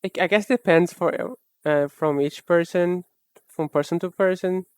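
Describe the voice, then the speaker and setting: A young woman streamer chatting casually while she draws, rambling and self-mocking in a soft, low-key way. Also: measured pace, clear, resonant tone, no echo, clean studio sound. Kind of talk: casual chat while drawing live.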